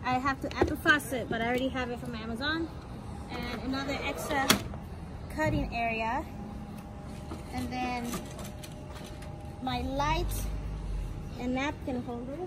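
A woman's voice: sits high, steady tone, a little slow, long pauses. A young woman casually explaining a homemade build step by step, recorded outdoors on a handheld camera. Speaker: vlogger showing a home build. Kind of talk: casual show-and-tell. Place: outdoor driveway beside a house. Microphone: camera mic, handheld camera nearby. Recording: loud outdoor noise.

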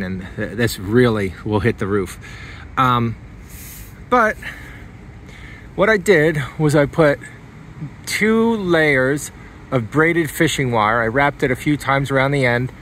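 A man talks through a hands-on demonstration in a casual, unscripted way. His phrases come short and halting as he works. He is recorded outdoors on a handheld phone, with some light background noise. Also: medium pitch, fairly bright tone. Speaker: hobbyist demonstrating an antenna installation. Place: outdoors beside a car in a residential driveway. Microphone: handheld phone filming the car.

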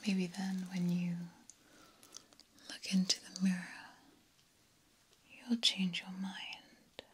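A young woman voicing a genteel, sinister vampire countess in a soothing, breathy whisper for an ASMR roleplay, close to the microphone. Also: on the low side, monotone, exaggerated voice. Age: young adult. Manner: soft, slow, soothing. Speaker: ASMR performer.

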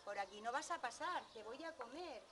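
A woman's voice: animated, moderate pace, a crowd in the background, close to an everyday voice. A woman voicing an ugly, wicked ogre in a children's tale, barking threats and commands. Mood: threatening. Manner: menacing, booming commands. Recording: noisy live storytelling recording.

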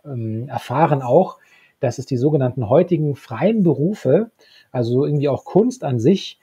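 Man in his thirties, very husky, mellow tone, podcast co-host, conversational review, close desk mic. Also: on the high side, lo-fi sound. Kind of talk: conversational radio-drama review. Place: home room with bookshelves. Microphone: desktop microphone, close.

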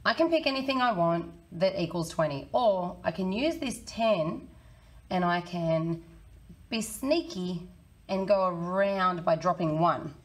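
A woman in her thirties with a croaky, hoarse voice, teaching a children's maths lesson in a friendly, encouraging way. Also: lowish voice, lively intonation, a little slow. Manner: friendly, encouraging, talks to kids. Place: small indoor room.

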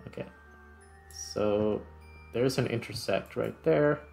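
A man with a fairly low voice talks through a video game in a casual way. He thinks aloud and explains as he goes, with some music playing behind him. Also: takes it slowly, vocal fry, darkish tone. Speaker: gaming video host. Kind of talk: casual gameplay commentary.

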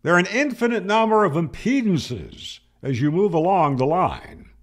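A man's voice: high voice, very animated, normal speed. An older man giving an explanatory tutorial, speaking plainly and emphatically and answering his own questions. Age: older, 60s or 70s. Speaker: amateur radio instructor, host. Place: home room, indoors. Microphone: studio microphone on boom arm, close.

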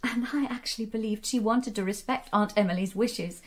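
Indignant young woman, fairly high voice acted. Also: moderate pace, lightly acted. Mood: indignant, questioning. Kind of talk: radio drama acting.

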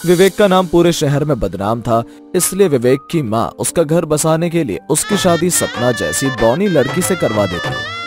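A man's voice: fairly high pitch, big pitch swings, normal speed, over music. A man giving scripted narration of a moral story.

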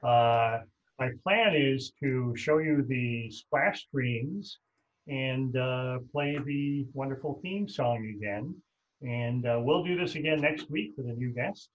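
A man's voice: medium pitch, slow, lo-fi sound. A middle-aged man hosting a casual video-call chat from a room at home, loose and rambling as he corrects himself mid-sentence. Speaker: host.

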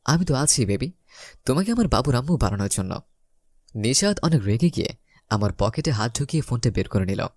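Animated young man telling a romantic story. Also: mid-range voice, brisk, no echo, clean studio sound. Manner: theatrical, acts out his lines. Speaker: story narrator. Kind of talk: dramatised first-person romantic comedy narration.

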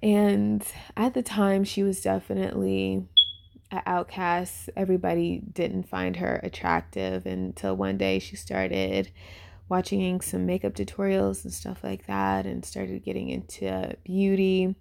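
Very creaky-voiced young woman, rambling casually. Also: mid-range voice, measured pace, warm tone. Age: 20s. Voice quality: very creaky vocal fry. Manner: rambling, informal, trailing off, filler-heavy with "like". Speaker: YouTuber reviewing a webtoon. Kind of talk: casual, unscripted review chat. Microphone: voice-over on a phone screen recording.

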